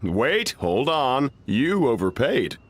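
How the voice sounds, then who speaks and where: A man's voice: mid-range voice, big pitch swings, slow pace. A man playing a genial, busy restaurant chef in a video game, obliging, cheery and casual. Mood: friendly, puzzled.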